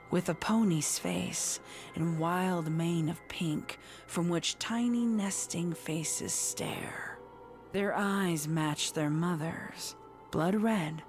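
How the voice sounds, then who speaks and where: A woman reading a scripted horror story as narrator, measured and suspenseful in her dramatic delivery. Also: lowish voice.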